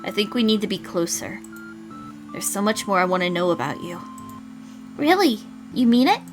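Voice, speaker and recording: A woman voicing an eager, affectionate young girlfriend in a visual novel, with soft, emotive delivery. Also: lowish voice, fairly even intonation, fairly quick, music in the background, close to an everyday voice. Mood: hopeful, tender.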